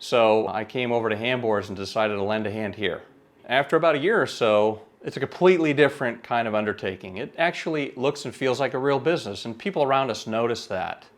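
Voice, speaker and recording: A man in his forties lays out business details in a measured, businesslike tone. He speaks to camera as the manager of a small company, steady and matter-of-fact. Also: medium pitch. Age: 40s. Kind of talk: pitch video talk to camera.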